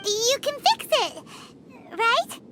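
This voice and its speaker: Tiny childlike game companion: extremely high, wildly animated, cheerful and excitable. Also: female voice, slow pace, noisy background, heavily acted. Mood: helpful, chatty. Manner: excitable, childlike, refers to self by name. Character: tiny cheerful chatty floating fairy girl companion. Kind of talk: anime video game voice acting.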